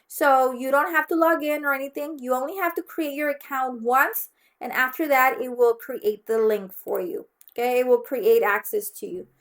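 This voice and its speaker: A woman's voice: high voice, normal speed, a bit bright. A woman from Mexico, a native Spanish speaker with a Mexican accent, giving an instructive online course orientation as a college teacher and often checking in as she goes. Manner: instructive, frequently checks understanding with "okay?".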